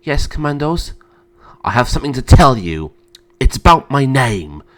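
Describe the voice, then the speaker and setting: A man's voice: medium pitch, sing-song, moderate pace, lightly acted. A man playing a cruel, vain, raging emperor in a theatrical, imperious and menacing manner. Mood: haughty. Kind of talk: amateur dramatic storytelling.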